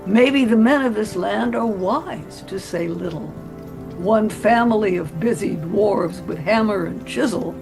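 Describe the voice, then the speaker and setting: A reader gives voice to a male elf, calm and gently wry, speaking in very slow, even phrases. His tone carries a slight gravel under faint background music in this storybook reading. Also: medium pitch.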